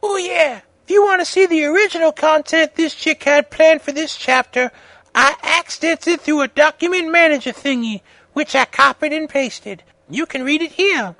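A man voicing a whiny, foul-mouthed teenage girl author, petulant and gushing, with drawn-out bratty delivery in a fan fiction reading. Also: extremely high pitch, bright, forceful tone, no room sound, pitched above the performer's own voice, exaggerated voice. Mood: chatty, smug.

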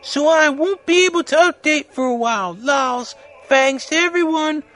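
A man voicing a whiny, foul-mouthed teenage girl author, petulant and gushing, with drawn-out bratty delivery in a fan fiction reading. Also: very high-pitched, animated, sharp tone, voice pushed up, exaggerated voice.

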